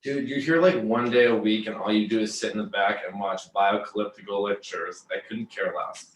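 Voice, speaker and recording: A man's voice: fairly low pitch, steady tone, moderate pace, roomy sound, far from the mic, close to an everyday voice, rough recording. A man in a table read playing Ed, an easygoing, teasing computer repair worker who talks in casual slang. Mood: teasing, skeptical. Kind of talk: table read of a comedy script.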